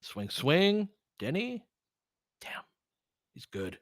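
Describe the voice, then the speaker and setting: A man's voice: a little high, wildly swooping pitch, very slow, dry recording, clean studio sound. A man giving live basketball play-by-play and casual analysis as a candid, colloquial fan commentator who reacts openly and swears.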